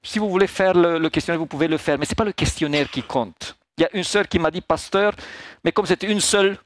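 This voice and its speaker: Older man, pastor, high voice, dry close sound, animated sermon. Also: fast talker, dry recording. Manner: animated, acts out dialogue. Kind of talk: sermon to a congregation. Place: small church hall.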